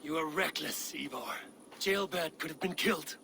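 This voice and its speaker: An actor playing a stern young Viking warrior in a game cutscene, terse and blunt. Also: male voice, a little high, normal speed, gravelly, sharp tone, a little hiss or hum. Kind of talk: dramatic game cutscene acting.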